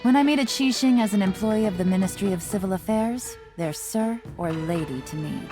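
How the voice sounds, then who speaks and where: A woman voicing a cool, shrewd, teasing intelligence agent in a video game, speaking in a composed, measured, sly manner. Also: medium pitch, over music, close to an everyday voice. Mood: playful, assured. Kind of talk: scripted video game character monologue.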